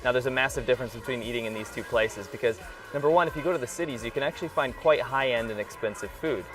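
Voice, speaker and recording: A man's voice: mid-range voice, fast, fairly bright tone, far from the mic, soft background music, rough recording. A man with an American accent hosting a casual vlog, speaking in an enthusiastic, emphatic way. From rural New York, United States. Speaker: vlog host. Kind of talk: casual vlog talk to camera.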